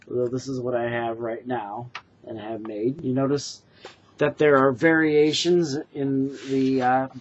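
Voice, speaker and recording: A man in his forties from the United States gives an earnest, halting product demonstration as a craftsman, recorded indoors on a webcam mic. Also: medium pitch, a little hiss or hum. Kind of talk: informal product demonstration to camera. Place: small bedroom, indoors. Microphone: webcam or laptop mic at desk distance. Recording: dull, lo-fi webcam sound.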